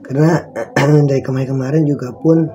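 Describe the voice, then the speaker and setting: A hoarse young man, hosting a casual live-stream unboxing in rambling slang and clearing his throat often. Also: mid-range voice, steady tone, fairly quick, dark tone, soft background music. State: hoarse from a cough. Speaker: channel host.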